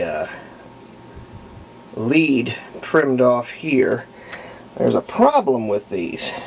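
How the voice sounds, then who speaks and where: Male hobbyist restorer, casual explainer. Gravelly fry, unhurried halting delivery, pauses mid-thought. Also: lowish voice, slight background noise. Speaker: hobbyist radio restorer demonstrating a repair. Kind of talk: casual hands-on tutorial talk.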